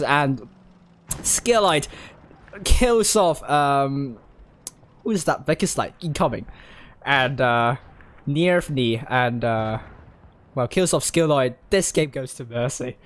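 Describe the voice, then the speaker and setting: Hoarse game caster going hard on play-by-play, voice cracking up high when fights break out, middle pitch. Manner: excitable, rising to high exclamations during fights; rapid name-listing with frequent fillers and restarts. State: hoarse, losing voice. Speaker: esports caster. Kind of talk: live play-by-play commentary.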